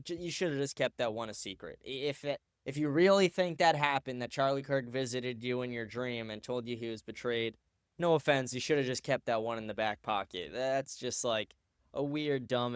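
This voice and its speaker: A man's voice: medium pitch, clean tone, no echo, studio quality. A man hosting his own live stream, reacting to clips and posts in a blunt, profane, ranting way. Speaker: show host.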